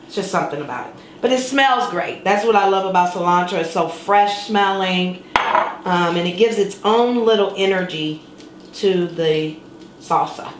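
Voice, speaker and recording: A woman's voice: on the low side, normal speed, background noise. Chatty woman in an echoey kitchen. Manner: chatty and playful, sings words for fun. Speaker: cooking show host. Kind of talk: casual cooking demonstration. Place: home kitchen, indoors. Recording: echoey kitchen with clattering dishes.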